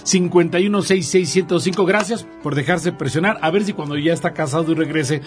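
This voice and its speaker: A man's voice: on the high side, a little fast, soft background music. A man hosting a TV talk show, joking with his co-host and formally introducing a guest.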